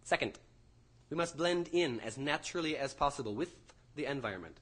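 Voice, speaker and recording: An intense young student in an audio drama, delivering a lecture with formal precision and an undercurrent of nerves. His voice sits fairly high for a man and has a bright, tense edge. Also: expressive, a little fast. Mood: formal, instructing. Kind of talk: theatrical audio drama acting.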